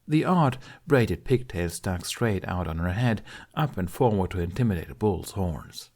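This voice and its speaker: Male audiobook narrator, lowish pitch, scripted storytelling read. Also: expressive, normal speed, darkish tone.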